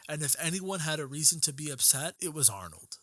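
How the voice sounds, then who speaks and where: A man's voice: fairly high pitch, big pitch swings, fairly quick, bright, forceful tone, no echo. A young man reading scripted documentary narration for a video essay, recorded as a close voice-over.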